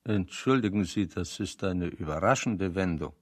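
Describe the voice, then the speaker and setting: An actor playing a weary, eloquent refugee intellectual in a radio play, speaking in a deliberate, rhetorical and dryly ironic way. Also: male voice, deep voice, expressive. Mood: hesitant, wry. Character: weary, eloquent male refugee intellectual, sick of being virtuous. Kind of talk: 1970 German radio play dialogue.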